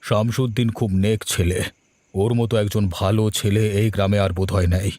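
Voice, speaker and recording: A man's voice: on the low side, steady tone, brisk, dark tone, dry recording, close to an everyday voice. A man voicing a pious, righteous village father who moralises earnestly in a cartoon. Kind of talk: cartoon dubbing.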